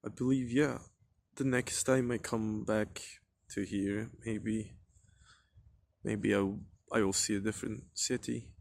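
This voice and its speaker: Man, twenties, Turkish accent. Reflective documentary interviewee, hesitant phrasing, middling-to-low pitch. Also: steady tone, slow, vocal fry, darkish tone, echoey room. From southern Turkey. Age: 20s. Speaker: student, documentary subject.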